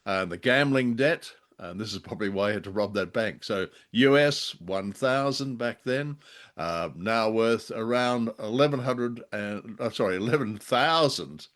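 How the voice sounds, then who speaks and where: A man co-hosting a podcast, reviewing radio drama in a halting, measured way with dry understatement. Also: on the low side, animated, fairly bright tone. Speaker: podcast co-host.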